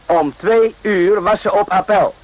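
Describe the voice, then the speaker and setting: A man's voice: sits high, a little slow, muffled recording. A man acting in a radio drama as an evasive, boastful, naive army private, hesitant and repeating himself. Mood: flustered, evasive.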